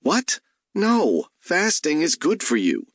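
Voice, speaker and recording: A man's voice: on the high side, wildly swooping pitch, takes it slowly, dry recording, clean studio sound, voice pushed up, full character voice. A man voicing an exasperated, sarcastic male detective in an audiobook, with indignant delivery.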